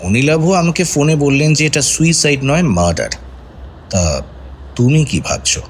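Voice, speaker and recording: Actor as inquisitive detective, very varied intonation. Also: male voice, fairly high pitch, animated, normal speed, slight background noise, lightly acted. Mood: probing. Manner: probing, conversational questioning. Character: senior male detective, inquisitive, composed, probing. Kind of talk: Bengali radio detective drama acting.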